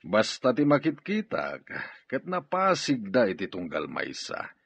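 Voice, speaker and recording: A man's voice: lowish voice, huge pitch swings, measured pace, creaky, fairly bright tone, breathy edge, exaggerated voice. A man acting a gossiping young male friend in an Ilocano audio drama. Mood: teasing, animated. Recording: dull, lo-fi recording.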